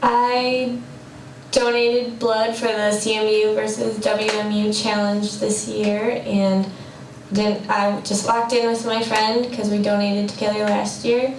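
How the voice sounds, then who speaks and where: A young woman gives an interview account at a slow pace with little rise and fall. She sounds distant, in an echoey space. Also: mid-range voice, flat delivery. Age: young adult. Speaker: student interviewee. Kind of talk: recounting an experience in an interview. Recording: echoey, distant, faint noise.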